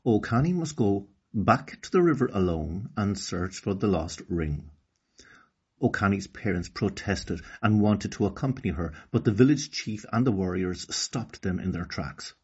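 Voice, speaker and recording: A low-voiced Irish man reads aloud to children. His delivery is deliberate and very animated, with a husky edge. The recording sounds a little dull. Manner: expressive, deliberate storybook delivery. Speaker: teacher reading a story. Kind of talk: children's read-aloud storytelling.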